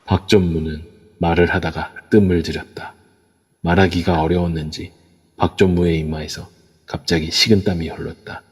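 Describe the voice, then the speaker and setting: Deep husky male narrator. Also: little pitch movement, moderate pace, long reverb tail. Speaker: narrator of a live amateur audio drama. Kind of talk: scripted narration.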